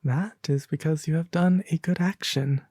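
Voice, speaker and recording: A performer voicing a gentle, sorrowful prince statue from a fairy tale, tender and pleading. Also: mid-range voice, normal speed, husky, no echo, clean studio sound.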